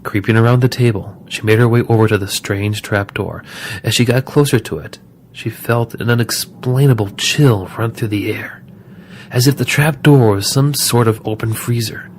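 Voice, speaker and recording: A man's voice: medium pitch, moderate pace, slight background noise. A man reading scripted story narration as the narrator of an audio drama.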